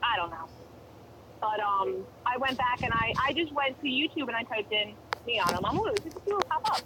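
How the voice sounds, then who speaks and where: A woman's voice: fairly high pitch, expressive, normal speed, heavy background noise. A woman co-hosting a podcast, chatting casually and rambling with opinions and fillers. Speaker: podcast co-host. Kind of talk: casual chat.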